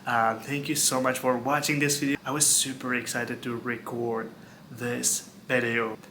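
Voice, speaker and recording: Earnest young man, flat bright voice. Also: medium pitch, measured pace, slight background noise. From Istanbul, Turkey. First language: Turkish. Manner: earnest, repeats words, fills with like and um. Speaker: vlogger. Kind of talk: casual talk to camera.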